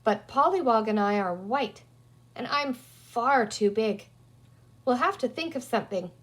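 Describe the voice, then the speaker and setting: A woman's voice: a little high, expressive, unhurried. A woman voicing a bossy, resourceful young girl in a children's story, talking emphatically as she reasons aloud. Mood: decisive. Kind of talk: children's storybook character reading.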